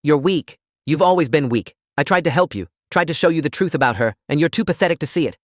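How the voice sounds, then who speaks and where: Audiobook villain: desperate male bully, played by a male narrator, loud and accusatory, self-pitying, pitched up from normal talk. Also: expressive, quick pace, dry recording, clean studio sound, natural voice. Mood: accusing, scornful.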